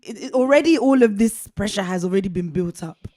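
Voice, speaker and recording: A woman's voice: mid-range voice, animated, fairly quick, dark tone, dry recording, clean studio sound. Chatty woman in her thirties. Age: 30s. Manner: chatty, laughing, self-deprecating. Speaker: podcast co-host. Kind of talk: casual faith discussion chat.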